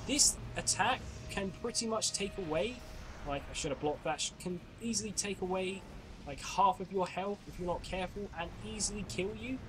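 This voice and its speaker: A man's voice: high voice, background noise. A young man giving chatty commentary while playing a game, explaining as he goes, his voice a bit off from a cold coming on. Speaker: gaming channel host. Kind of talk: casual gameplay commentary.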